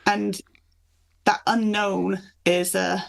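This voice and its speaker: A woman with a mid-range voice and a noticeable creak talks as a guest author. She explains things in an enthusiastic, conversational way, as in an interview. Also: medium pitch, little pitch movement, extremely slow. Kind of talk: conversational interview chat about folklore.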